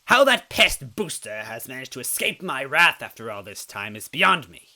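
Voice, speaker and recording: A man's voice: mid-range voice, very animated, normal speed, pushed and bright, voice pushed up, heavily acted. A man voicing a cruel scheming old female bean witch in a storybook reading, sneering and swinging from hisses to screams. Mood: scornful. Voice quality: raspy, cackling. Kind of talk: storybook reading with character voices.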